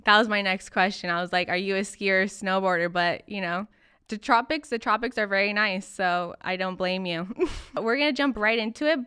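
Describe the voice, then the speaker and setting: Smooth-voiced young woman podcast host. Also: medium pitch, sharp tone, dry recording, clean studio sound. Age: young adult. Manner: enthusiastic. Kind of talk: upbeat podcast interviewing.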